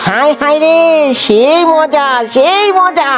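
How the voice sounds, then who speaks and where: A performer voicing a gullible, excitable male fox husband in a comic cartoon, exclaiming and repeating words in a sing-song way. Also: high voice, takes it slowly, full character voice. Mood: gleeful boasting.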